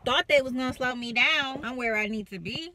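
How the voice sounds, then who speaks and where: A young woman vlogs from her parked car, the phone held at arm's length. She is chatty and slangy. Her voice is bright and sits a little high. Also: fairly quick, slight background noise. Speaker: vlogger and assistant publicist. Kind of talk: casual vlog chat.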